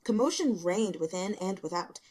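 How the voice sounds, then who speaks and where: A woman reading fiction aloud as an audiobook narrator, in an expressive storytelling style. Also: medium pitch, moderate pace. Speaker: audiobook reader.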